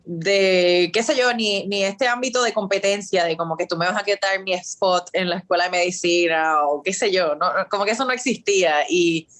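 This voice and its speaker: A woman's voice: lowish voice, moderate pace, few pauses, a bit bright. Chatty Puerto Rican woman. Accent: Puerto Rican Spanish. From Puerto Rico. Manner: chatty, many fillers and English words. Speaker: guest. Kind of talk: casual interview answers. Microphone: video call.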